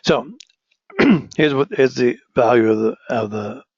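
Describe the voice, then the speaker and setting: A man teaching a lecture, hoarse from a cold, often restarting phrases and checking in with "right?". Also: medium pitch, a little slow, no echo. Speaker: instructor. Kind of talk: recorded online lecture. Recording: dull, dry lo-fi recording.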